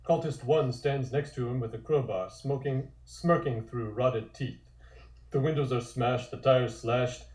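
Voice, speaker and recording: A man's voice: mid-range voice, a little slow, a little mellow. A man reading scripted comic narration and stage directions in an echoey room.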